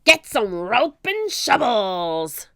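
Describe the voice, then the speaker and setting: A woman's voice: a little high, big pitch swings, very slow, pushed and bright, no echo, clean studio sound, voice pushed up, heavily acted. A woman voicing a horrible, bullying pirate captain in a children's storybook, growling and roaring orders in pirate talk. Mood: roaring, commanding.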